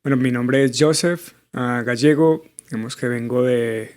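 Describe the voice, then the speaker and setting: A man's voice: mid-range voice, a little slow. A man in his thirties from Colombia with a Bogotá accent, answering interview questions thoughtfully with many small fillers. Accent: Colombian Spanish, Bogotá. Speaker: guest. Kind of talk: conversational interview.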